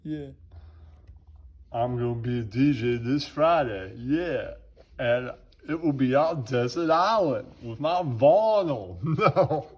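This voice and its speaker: A man's voice: mid-range voice, expressive, takes it slowly, slightly rough. A man rambling casually in a drawling, slangy show-and-tell, repeating his catchphrases. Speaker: vlogger showing off a record collection.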